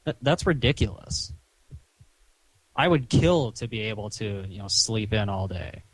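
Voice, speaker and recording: A man talking on a recorded phone call in a stern, chiding, halting way, padding his sentences with "you know". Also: on the low side, expressive, husky, no room sound. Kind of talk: phone conversation, reproachful lecturing.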